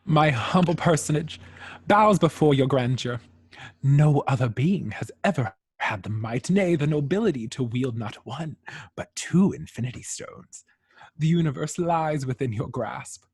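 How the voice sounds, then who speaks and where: A man playing a sinister, fawning alien henchman in an amateur table read, pompous and husky. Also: fairly high pitch, expressive, a little slow, a little mellow, full character voice. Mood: reverent, grandiose. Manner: pompous, reverent.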